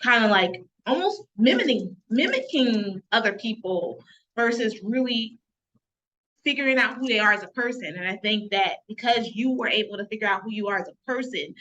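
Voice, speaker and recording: A woman hosts an interview and praises her guest effusively. Her voice sits high and sounds bright and forward. The recording is a little muffled, with a faint hiss underneath. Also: lively intonation, normal speed. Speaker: host.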